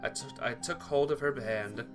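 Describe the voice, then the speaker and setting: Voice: medium pitch, moderate pace, over music. A let's player reading a visual novel aloud as dramatic narration, occasionally stumbling and correcting the wording.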